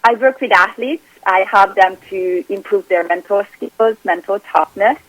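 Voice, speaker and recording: A woman's voice: mid-range voice, measured pace, no room sound, lo-fi sound. A woman from Hungary with a Hungarian accent, speaking earnestly as a guest on an interview podcast and often restarting her sentences. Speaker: performance coach.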